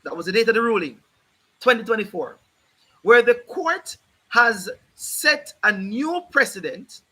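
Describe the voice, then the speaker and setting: A hoarse man hosting a livestream, explaining a court ruling in an emphatic, repetitive, lecturing way while addressing his viewers directly. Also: sits high, pushed and bright, poor audio. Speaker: host of a legal rights livestream. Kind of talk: livestreamed legal commentary.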